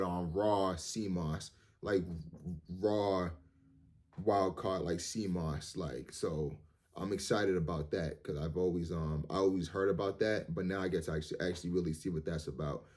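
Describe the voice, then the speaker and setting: Deep-voiced man in his thirties, rambling. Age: 30s. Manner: rambling, repeats words, many fillers; earnest, motivational. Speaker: vlogger. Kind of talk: casual monologue to camera.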